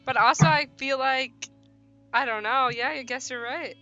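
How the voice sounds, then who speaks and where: A woman streamer reading a visual novel aloud and mixing it with animated, slangy banter, sometimes stumbling over words. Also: high voice, normal speed, muffled recording, faint music behind. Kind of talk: live playthrough, narration mixed with casual banter.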